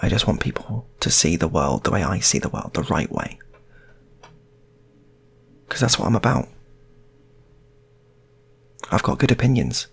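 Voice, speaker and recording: A man's voice: sits low, very animated, a little slow, vocal fry, pauses often, a bit bright, slightly rough, heavily acted. A man voicing a self-important, defensive man in his thirties who insists and repeats himself, in an improvised story.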